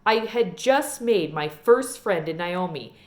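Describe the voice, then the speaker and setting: A woman narrating a children's novel aloud as an expressive storyteller. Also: on the low side, takes it slowly.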